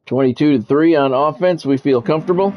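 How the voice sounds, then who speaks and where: A man's voice: fairly high pitch, a little fast. Lo-fi male football play-by-play announcer. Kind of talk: live sports commentary over a football video game. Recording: dull lo-fi audio with music behind.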